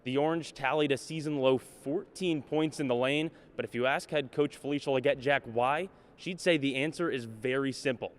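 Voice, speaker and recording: A man's voice: mid-range voice, normal speed, few pauses. A man working as a sports reporter and play-by-play announcer, delivering scripted reports and game commentary.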